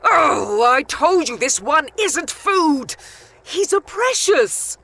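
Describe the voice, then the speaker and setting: Shrill, theatrical female vampire elf. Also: sing-song, slow, creaky, pushed and bright, heavily acted. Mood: doting, defiant. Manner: bickering, theatrical, doting. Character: fierce, protective female dusk elf vampire. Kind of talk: audio drama acting.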